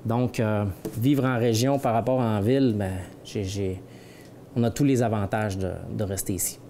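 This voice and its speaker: Québécois local guy chatting easy, low voice, dark mellow tone, lots of euh. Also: moderate pace, slight background noise. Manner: relaxed, plain-spoken, with many fillers. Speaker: local resident. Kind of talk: casual interview answers.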